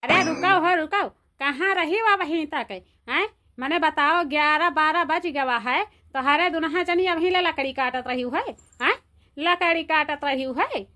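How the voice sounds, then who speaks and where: A woman's voice: sits high, measured pace, bright, forceful tone. A woman with an Awadhi accent playing a scolding older village mother-in-law in a comedy cartoon, firing repeated rhetorical questions. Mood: alarmed, indignant.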